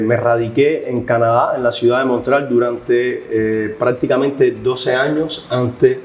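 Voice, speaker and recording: A man's voice: mid-range voice, a little mellow, slight background noise. Cuban-accented man explaining in an echoey room. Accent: Cuban Spanish. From Cuba. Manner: measured, explanatory, frequent hesitations. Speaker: guest expert, professor. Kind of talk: podcast interview answers.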